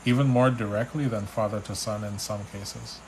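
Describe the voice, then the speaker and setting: A man from Trinidad with a Trinidadian accent, a spiritual reader on a live stream, relaxed and chatty as he reads out viewers' questions. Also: on the low side, normal speed, a little hiss or hum, low-quality recording. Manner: relaxed, chatty, reads names and questions aloud, restarts often. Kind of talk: live stream reading, answering chat questions.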